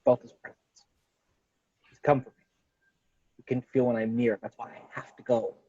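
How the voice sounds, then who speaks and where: A man reading an earnest, calm, resolute young hero in a film script table read, on muffled video-call audio. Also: mid-range voice, moderate pace, no room sound, close to an everyday voice. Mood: grave, resolute.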